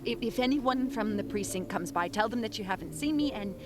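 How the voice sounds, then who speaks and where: A woman plays a weary female police detective in an audio drama, proud but wounded. Her pitch swings widely with feeling. Loud dramatic music runs behind her voice. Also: animated, a little fast, natural voice. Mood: hurt, bitter.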